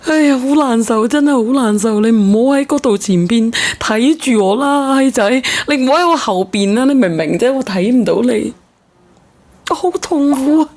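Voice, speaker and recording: A woman's voice: fairly high pitch, lively intonation, moderate pace, a little mellow. A woman hosting an emotional phone-in show in speech, probing and exclaiming, repeating herself insistently. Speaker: call-in show host. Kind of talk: emotional radio phone-in talk.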